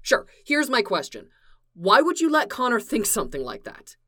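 A man's voice: very high voice, wildly swooping pitch, moderate pace, bright, forceful tone, voice pushed up, heavily acted. A woman reading the part of an anxious, lovesick grown man who pleads with a rising voice. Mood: indignant.

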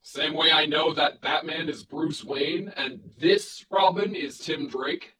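A woman's voice: deep voice, steady tone, slow, bright, forceful tone, roomy sound, far from the mic, full character voice, rough recording. Woman voicing a brash, cursing young vigilante. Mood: urgent. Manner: blunt, gruff and swearing.

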